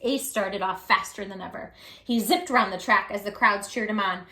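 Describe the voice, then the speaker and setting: A woman hosting storytime, reading a children's picture book aloud in a gentle, expressive, affectionate way. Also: mid-range voice, moderate pace, echoey room.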